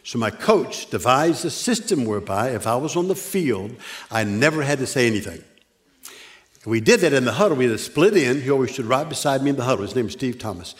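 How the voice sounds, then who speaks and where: A man's voice: medium pitch, expressive. Older man preaching through a stutter. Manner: stutters, with pauses and restarts, self-deprecating humor. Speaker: guest preacher. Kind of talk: sermon. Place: church sanctuary.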